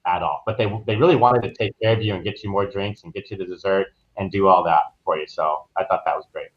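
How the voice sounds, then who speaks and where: Dull lo-fi recording of a guy with a lowish voice, easygoing travel agent chatting in an interview. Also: fast talker. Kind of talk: casual, anecdotal interview answers.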